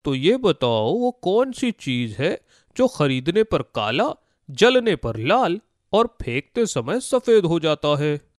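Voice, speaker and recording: A man's voice: a little high, very animated, takes it slowly, no echo, clean studio sound. A man voicing a challenging crocodile who guards magical flowers and poses riddles in a children's story. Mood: testing. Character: riddle-posing crocodile guarding magical river flowers, testing but fair. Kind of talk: Hindi children's moral story voice acting.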